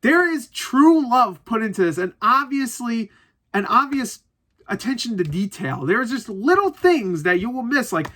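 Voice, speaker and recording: A man with a notably high, bright voice gives an off-the-cuff movie review. He rambles informally, jokes around and swears freely. He leans on repetition to drive his opinions home. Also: sing-song, measured pace.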